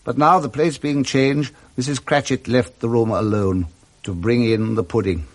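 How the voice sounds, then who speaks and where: Casting: male British narrator, mid-range voice, expressive literary storytelling for a classic radio drama. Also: mid-range voice, moderate pace.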